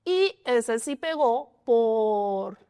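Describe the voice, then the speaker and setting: A woman's voice: fairly high pitch, expressive, extremely slow, warm tone, clean tone. A woman, answering interview questions in a hesitant way, often restarting and filling with "o sea". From China. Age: 40s. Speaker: statistician and interviewee.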